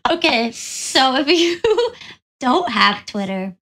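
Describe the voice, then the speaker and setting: A woman's voice: high voice, background noise. A woman co-hosting a casual podcast from a hotel room, playful and teasing, laughing often. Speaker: podcast co-host. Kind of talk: casual chat. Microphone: different travel microphone.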